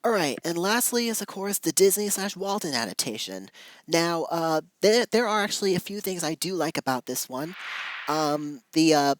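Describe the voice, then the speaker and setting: A video reviewer of unclear sex giving informal, opinionated commentary to viewers in a halting, emphatic way. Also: mid-range voice, expressive, no room sound, poor audio.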